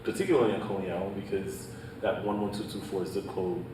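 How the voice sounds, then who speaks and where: Deep-voiced man on a panel, roomy. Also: fairly even intonation, quick pace. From Haiti. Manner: earnest, repeats "right?" and "um", leans on data. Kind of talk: panel discussion answer. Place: panel event in a hall. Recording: echoey room, distant mic, background noise.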